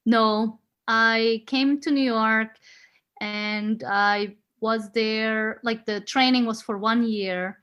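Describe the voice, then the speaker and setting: A woman's voice: fairly high pitch, fairly even intonation, takes it slowly, a bit bright, clear, resonant tone, highs cut off. Woman in her forties, laughing often. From Switzerland. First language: Swiss German and German. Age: 40s. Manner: friendly, laughs often, searches for words. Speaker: guest. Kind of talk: casual interview.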